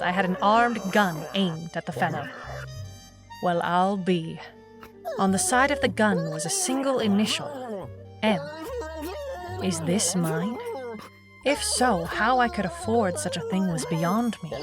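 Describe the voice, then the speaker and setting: A woman's voice: mid-range voice, animated, a little slow, over music, natural voice. A woman playing a wry, world-weary, tough private eye, narrating a hardboiled noir audio drama. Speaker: lead narrator.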